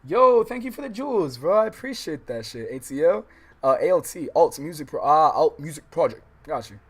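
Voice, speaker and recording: A man's voice: fairly high pitch, sing-song, brisk, breathy edge. An American man hosting a casual let's-play livestream, reading story text aloud with stumbles and reacting in slangy, profane talk. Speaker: livestream host.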